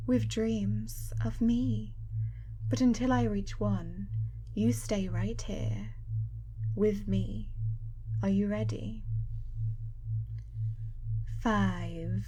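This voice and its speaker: A young woman performing an ASMR hypnosis roleplay, hushed and partly whispering close to the mic, with a teasing, coaxing, controlling manner. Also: medium pitch, a little hiss or hum. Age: young adult.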